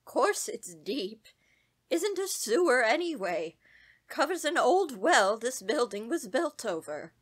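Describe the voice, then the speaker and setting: Radio drama: actress as bossy older country mother-in-law, high piercing voice, very animated, brusque. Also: slow, slightly rough, full character voice. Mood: brusque, puzzled. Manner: brusque, folksy, scolding.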